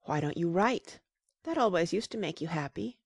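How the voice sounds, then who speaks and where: A woman playing a gentle, loving middle-aged mother in an audiobook, speaking tenderly and reassuringly. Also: lowish voice, animated, moderate pace, gravelly, breathy edge, dry recording, clean studio sound, exaggerated voice. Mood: gently encouraging. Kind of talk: dramatized audiobook character reading.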